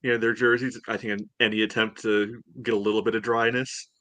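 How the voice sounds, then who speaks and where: A man co-hosting a sports podcast, giving measured, casual commentary. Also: lowish voice, level tone, sharp tone. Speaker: podcast co-host.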